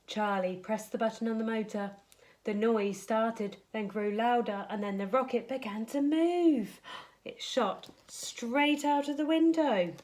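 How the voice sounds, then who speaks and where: Higher-voiced woman reading a children's story. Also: unhurried. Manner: expressive, dramatising for young children. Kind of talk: children's bedtime story read-aloud.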